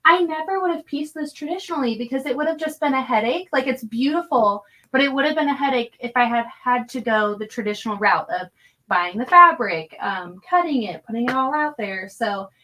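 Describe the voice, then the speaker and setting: A woman's voice: sits high, brisk, pushed and bright. A woman guest quilter chatting on a video call, grateful and effusive. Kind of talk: casual chat on a video call.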